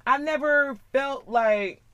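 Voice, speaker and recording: A young woman with a raspy voice co-hosting a casual chat, blunt and quick to laugh. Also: high voice, a bit bright, dry recording, muffled recording. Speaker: podcast co-host.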